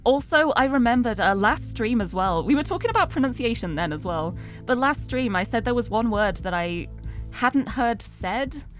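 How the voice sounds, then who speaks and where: A woman's voice: a little high, animated, moderate pace, faint music behind. A young British woman from the UK, chatting in a rambling, self-deprecating way while streaming a game. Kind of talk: casual chat while gaming live.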